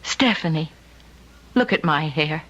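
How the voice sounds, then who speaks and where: An actress playing a lonely, tender woman who longs to be a mother, speaking gently in an old-time radio drama. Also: deep voice, expressive, measured pace, full character voice. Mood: tender, yearning. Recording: dull lo-fi radio recording.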